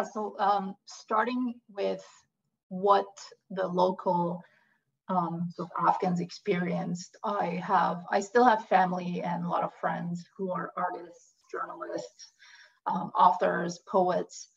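A woman from Iran answers interview questions slowly, often pausing and restarting her sentences. Her voice is husky with a gravelly edge. The audio sounds dull and lo-fi. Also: lowish voice, fairly even intonation. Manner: hesitant, many fillers and restarts. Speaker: interview guest. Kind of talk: conversational interview.

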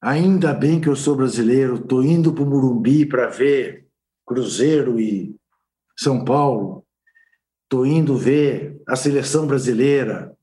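Mellow older Brazilian pundit. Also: male voice, mid-range voice. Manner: unhurried, reminiscing storyteller. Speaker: sports journalist pundit. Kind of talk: casual panel chat.

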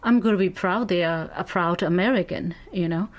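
A woman from Burma with a Burmese accent, speaking earnestly as an interview guest and pausing often to find her words. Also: on the low side, dull audio. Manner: earnest, searching for words, many "you know" fillers. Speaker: interview guest, community advocate. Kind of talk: conversational interview.

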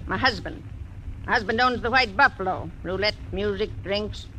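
A woman's voice: medium pitch, normal speed, pushed and bright, close to an everyday voice, low-quality recording. A woman in her fifties playing a tough, blunt frontier woman in a 1940s radio drama. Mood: stern. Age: 50s. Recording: old radio broadcast with faint hiss.

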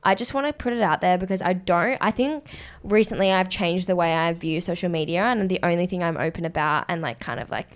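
A woman with a slightly low voice, co-hosting a casual podcast. She talks bluntly and sweary, packing her speech with "like" as she rants. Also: brisk. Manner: frustrated, blunt, sweary ranting. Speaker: podcast co-host. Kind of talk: casual chat.

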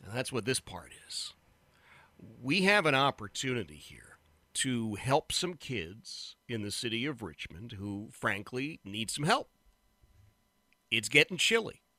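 A man from the Philadelphia area hosting a radio talk show, speaking loudly and emphatically with fillers and restarts. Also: mid-range voice, sing-song, unhurried, no echo. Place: radio studio. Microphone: studio microphone.

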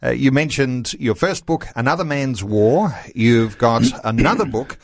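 Hesitant Australian radio host. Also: male voice, mid-range voice, lively intonation, unhurried, dry recording. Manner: hesitant, with many "uh" fillers. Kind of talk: radio interview.

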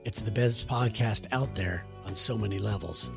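A man's voice: moderate pace, gravelly, over music. A man co-hosting a comedy podcast, reading a listener letter aloud and joking around in a teasing way. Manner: teasing, jokey, breaks into falsetto song.

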